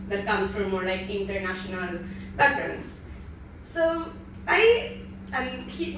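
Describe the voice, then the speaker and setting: Nervous, halting woman panel moderator in her thirties; distant mic, big echoey room. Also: medium pitch. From Peru. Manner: hesitant, many ums and restarts. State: a bit nervous. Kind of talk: live panel introduction. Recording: echoey room from far off with noise.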